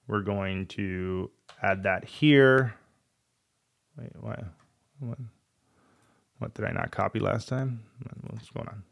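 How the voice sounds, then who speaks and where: A man's voice: fairly low pitch, slow pace, vocal fry, long pauses. A man with a cold and a fading voice, talking casually through a coding walkthrough and muttering in frustration as he debugs. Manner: thinks aloud, frustrated muttering while debugging. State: losing his voice, has a cold. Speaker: coding tutorial host.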